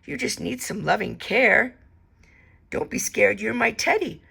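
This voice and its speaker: A woman's voice: fairly high pitch, expressive, a little slow, creaky, very noisy, heavily acted. A woman voicing a great big lonely bear who whines and whimpers. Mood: soothing. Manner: whiny and whimpering.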